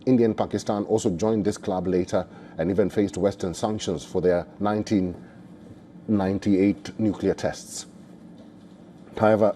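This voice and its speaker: Dark-voiced man reading the news. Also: fairly low pitch, slight background noise. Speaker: news presenter. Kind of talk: scripted news narration.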